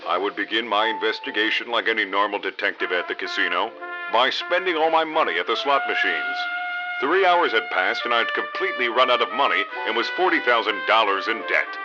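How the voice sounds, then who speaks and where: Man playing low-voiced hapless noir detective, muffled over music. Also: lively intonation, fairly quick, close to an everyday voice. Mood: brooding narration. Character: hapless novice male private detective, deadpan, dim and dreamy. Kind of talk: parody noir radio drama narration. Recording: dull lo-fi audio under loud music.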